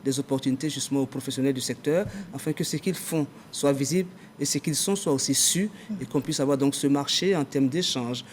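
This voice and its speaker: A man's voice: medium pitch, fairly quick, hardly any pauses, slight background noise. Formal official man in long sentences. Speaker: adviser in the communication minister's office, guest. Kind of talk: TV talk show interview.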